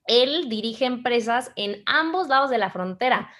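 A woman co-hosting a podcast over a video call. Her voice sits fairly high, and her delivery is upbeat and chatty as she reads an introduction and asks questions. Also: lively intonation, unhurried, a bit bright. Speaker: podcast co-host. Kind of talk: reading a guest introduction, then interviewing.

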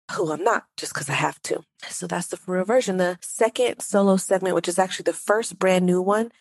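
A woman's voice: fairly low pitch, gravelly, darkish tone, no echo. A woman hosting her own podcast who talks with a stuffy nose, chatting directly to her listeners in a solo, conversational monologue. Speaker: podcast host.

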